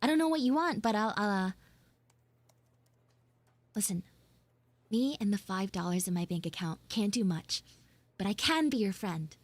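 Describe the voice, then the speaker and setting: A woman's voice: mid-range voice, lively intonation, normal speed, long pauses, no room sound, voice pushed down, lightly acted. A woman playing a prickly, defensive schoolgirl in a live audio roleplay, stammering and dramatic as she grumbles and pleads. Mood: awkward, conciliatory.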